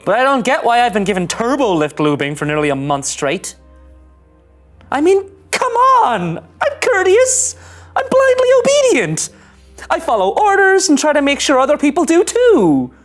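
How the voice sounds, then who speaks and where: Young male starship ensign, fretful, earnest, swooping pitch, sci-fi cartoon drama, music underneath. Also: big pitch swings, normal speed. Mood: exasperated. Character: anxious, rule-following young male starship ensign, easily panicked. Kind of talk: scripted roleplay table read of animated sci-fi.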